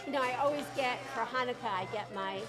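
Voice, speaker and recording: A woman's voice: a little high, fast talker. A woman in casual, chatty conversation in a social hall, talking with enthusiasm. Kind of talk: casual chat. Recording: live hall, loud music and crowd behind. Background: loud music and crowd chatter.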